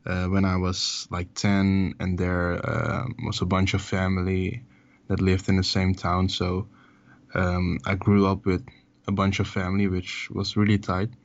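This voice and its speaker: A young man with a Dutch accent and a very low, deep voice answers in English, his second language. He talks in a relaxed, halting way, pausing on fillers. The call audio sounds dull and lo-fi. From Netherlands. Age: 20s. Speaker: musician guest. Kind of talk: casual interview over a call.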